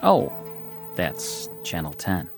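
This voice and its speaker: A man's voice: low voice, huge pitch swings, takes it slowly, gravelly, heavily acted. A man playing a polite, mysterious TV repairman in a radio drama, understated, formal and dry. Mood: quietly knowing. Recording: music behind the voice.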